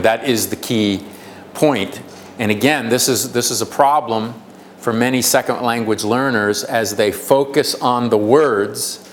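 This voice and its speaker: An American man gives a presentation at a conference at a middle pitch, restarting phrases and repeating words as he explains. Faint hiss sits under his voice. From United States. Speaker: conference speaker. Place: conference hall.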